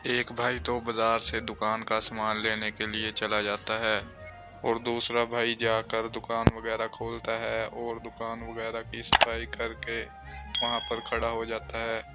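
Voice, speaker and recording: Male storyteller for scripted cartoon narration, mid-pitched, extremely flat intonation, music behind. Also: unhurried.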